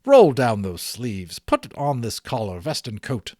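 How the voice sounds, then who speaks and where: A man voicing an elegant, fussy but cordial gentleman in a storybook, speaking in a courtly, insistent, formal way. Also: medium pitch, wildly swooping pitch, unhurried, no room sound, studio quality. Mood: chiding. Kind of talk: storybook read-aloud character voice.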